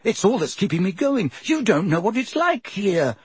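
A man's voice: high voice, very animated, moderate pace, slightly rough, dry recording, voice pushed up, exaggerated voice. A man voicing an earnest, worried teenage boy wizard in an audiobook. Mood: urgent. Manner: earnest, urgent pleading. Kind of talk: audiobook character voice.

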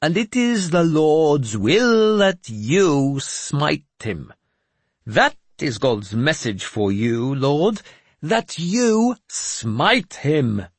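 A man voicing a mad, sly, self-proclaimed bishop who talks in a high, slow, sing-song preaching way. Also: sing-song, husky, no echo, heavily acted. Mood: intoning.

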